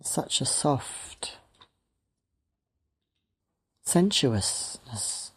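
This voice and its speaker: A woman meditation teacher leading a guided meditation in gentle, sparse phrases with long pauses between them. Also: a bass voice, very animated, breathy edge.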